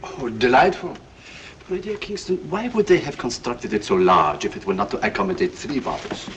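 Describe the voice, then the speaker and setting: A pompous, fastidious gentleman in a 1940s film. He sounds distant and muffled on the old soundtrack, with lively intonation. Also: mid-range voice, a little hiss or hum.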